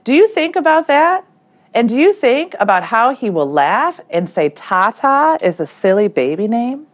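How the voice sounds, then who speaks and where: A woman's voice: on the high side, very animated, a little slow, voice pushed up. A woman voicing a bossy, teasing girl who needles with questions, in a children's picture book read aloud. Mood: taunting. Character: bossy teasing older sister, young girl.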